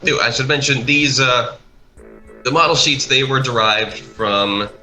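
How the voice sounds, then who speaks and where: A man chatting casually in a rambling, halting way, like someone producing an animation project. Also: mid-range voice, steady tone, sharp tone, low-quality recording. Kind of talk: casual chat over a speedpaint. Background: music.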